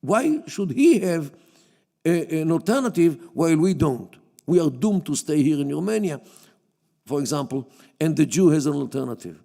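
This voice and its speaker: A man whose first language is Yiddish gives a public lecture, talking haltingly with many fillers and restarts. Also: on the high side, big pitch swings, gravelly, warm tone. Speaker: guest lecturer. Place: synagogue hall. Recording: long hall reverb.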